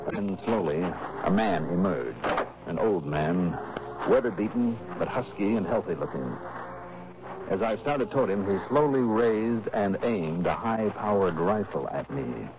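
An actor playing a cool, self-assured insurance investigator in a 1960s radio drama, with a dry, clipped, unruffled delivery. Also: male voice, deep voice, animated, unhurried. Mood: measured, descriptive. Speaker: lead detective and narrator. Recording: noisy, muffled old radio recording.

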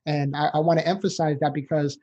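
Upbeat, mellow-voiced New man. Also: on the high side, level tone, brisk, highs cut off. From New York, USA. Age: 40s. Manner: earnest, upbeat, with light laughs and fillers. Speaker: motivational speaker guest. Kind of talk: interview storytelling.

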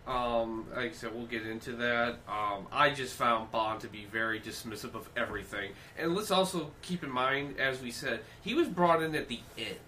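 A man co-hosting a casual podcast, chatty and quick to agree and jump in. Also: mid-range voice, sharp tone, a little hiss or hum. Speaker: podcast co-host. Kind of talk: casual chat.

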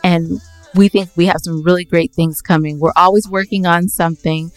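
A woman's voice: on the low side, steady tone, moderate pace, dry recording, soft background music. Emphatic woman podcast co-host, close dry sound. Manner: emphatic, opinionated, interjecting. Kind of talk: casual podcast debate with co-host.

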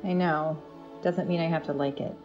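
A woman's voice: deep voice, fairly even intonation, moderate pace, muffled recording, over music, lightly acted. A woman playing a caring but resentful wife and mother in an audio drama.

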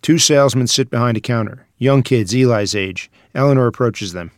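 A man's voice: mid-range voice, a little fast, dry recording, clean studio sound. A man narrating the stage directions of a screenplay at a table read.

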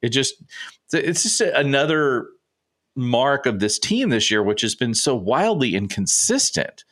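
A man's voice: mid-range voice, sing-song, measured pace, a bit bright. A man hosting a sports podcast solo, rambling and chatty while slightly congested and sniffling. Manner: chatty, rambling, self-interrupting. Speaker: podcast host and sports writer. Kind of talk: casual solo sports talk.

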